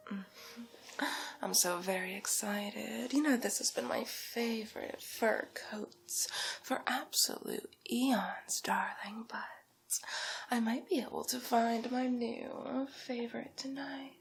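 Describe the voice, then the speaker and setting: A vain, wealthy villainess, acted by a woman for an ASMR roleplay, whispers with lofty condescension. Her voice is breathy and fairly high, with heavy creak, and she draws out her words slowly and theatrically. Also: fairly even intonation, slight background noise. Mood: vain, indulgent. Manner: slow, drawn-out, theatrical, patronizing. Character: haughty, vain, condescending wealthy villainess, fur-loving fashion snob.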